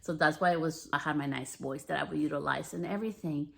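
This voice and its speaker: A woman in her thirties with a deeper natural voice, hosting a podcast in a frank, candid monologue that swears freely. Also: fairly even intonation, fast, creaky, a bit bright. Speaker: podcast host.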